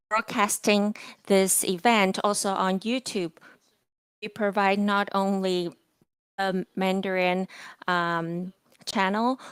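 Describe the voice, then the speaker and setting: A woman hosting a lecture event, introducing it formally in a careful, halting way with fillers and restarts. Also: mid-range voice, clean tone, no room sound. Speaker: event host.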